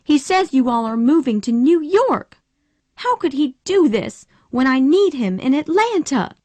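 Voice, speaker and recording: A woman voicing a headstrong, passionate young Southern woman in a storybook reading, high, urgent and emotional. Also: big pitch swings, measured pace, a little mellow, no room sound. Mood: upset, tearful.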